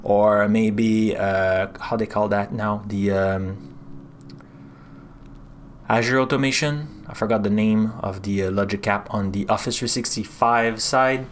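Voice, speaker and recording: A man's voice: lowish voice, steady tone, measured pace, a little hiss or hum. A man with a French accent, casually chatting through a live coding stream, rambling with lots of fillers and restarts.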